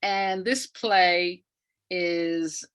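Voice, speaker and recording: Slow-paced, deliberate older woman in conversation. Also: on the low side, fairly even intonation, fairly bright tone, smooth. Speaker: guest, playwright and retired academic. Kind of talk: reflective interview conversation.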